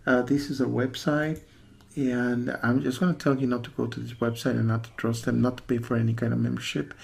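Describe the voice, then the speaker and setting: Male reviewer, mid-pitched with noticeable fry; unscripted, hesitant delivery that hammers points by repetition. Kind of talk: casual spoken warning review with screen walkthrough.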